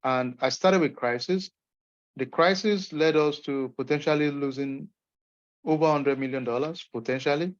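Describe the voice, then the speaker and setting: Nigerian male instructor, upbeat and emphatic, fairly high voice over a dull lo-fi call line. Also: moderate pace. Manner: encouraging, emphatic, folksy asides. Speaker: course instructor. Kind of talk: online Q&A session. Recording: muffled lo-fi call audio.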